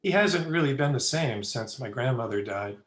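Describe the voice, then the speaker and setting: An actor playing a polite, puzzled young student in a radio play, earnest and a little hesitant. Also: male voice, mid-range voice, expressive, normal speed, lightly acted. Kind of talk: old-time radio drama acting.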